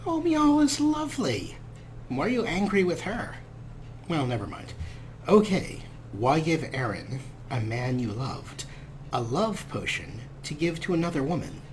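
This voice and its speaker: Man, gaming host, hugely varied intonation, unhurried slow pace, wry, casual, faint noise behind. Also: a little high, big pitch swings. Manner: wry, amused. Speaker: let's play host. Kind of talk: casual game commentary.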